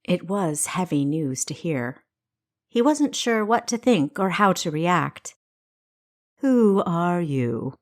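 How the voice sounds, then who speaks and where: A woman's voice: fairly low pitch, lively intonation, slow. A woman reading scripted fiction as the narrator of her own audiobook.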